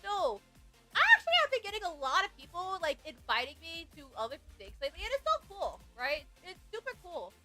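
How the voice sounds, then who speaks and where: Young female streamer, very high, lively voice, bubbly and rambling, casual. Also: fairly quick. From Hawaii. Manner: bubbly, rambling, many fillers, squealing greetings. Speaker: VTuber streamer. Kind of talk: casual livestream chat. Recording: faint music behind. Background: faint background music.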